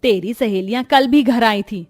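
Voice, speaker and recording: A woman's voice: on the high side, normal speed, a little mellow, dry recording, clean studio sound, soft background music, close to an everyday voice. Woman voicing a worried scolding mother-in-law. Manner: scolding, pleading. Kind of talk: cartoon voice acting.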